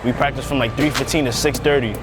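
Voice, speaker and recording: A young man with a New York accent, a high school football player talking in a documentary interview in a laid-back, slangy way. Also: fairly even intonation, fast talker. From Queens, New York. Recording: loud background music.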